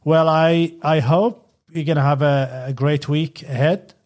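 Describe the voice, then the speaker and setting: A middle-aged man with a husky voice hosts a casual chat. He talks in a relaxed, halting way, with plenty of fillers and restarts. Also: on the high side. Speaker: podcast host, father.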